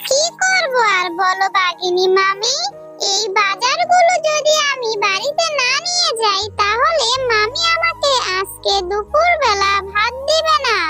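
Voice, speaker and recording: A woman's voice: very high voice, animated, a little slow. A woman voicing an innocent, pleading young orphan servant girl in a children's cartoon story. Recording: children's jingle music behind the voice.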